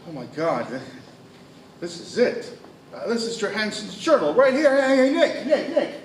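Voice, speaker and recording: An actor plays an obsessed detective in a radio drama, urgent and stammering. His pitch is high and swings widely. The sound is strongly echoey. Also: lively intonation, normal speed, slight background noise. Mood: excited. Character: excitable, obsessed detective hunting the hidden truth. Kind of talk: radio-play dialogue. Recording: echoey, distant stage recording.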